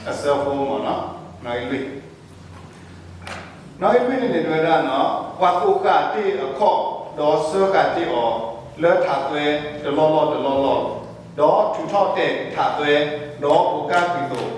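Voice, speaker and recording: A man's voice: on the high side, lively intonation, racing, a little mellow. A man working as a pastor and interpreter, relaying a message to a church congregation, repeating himself as he searches for words. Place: large echoey indoor room. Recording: very echoey hall from far off, faint noise.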